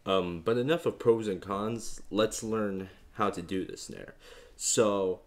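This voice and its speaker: A young man with a very low bass voice walks the listener through beatbox sounds. He talks casually and rambles a bit, leaning on fillers as he explains. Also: takes it slowly. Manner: relaxed, rambling, many fillers. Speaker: beatbox tutorial host. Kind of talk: casual instructional talk.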